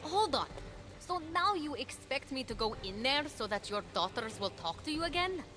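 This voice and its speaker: A woman playing a plucky, curious young hero in a video game, expressive, earnest and wryly teasing. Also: high-pitched, normal speed. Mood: skeptical. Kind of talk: video game cutscene voice acting. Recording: music behind the voice.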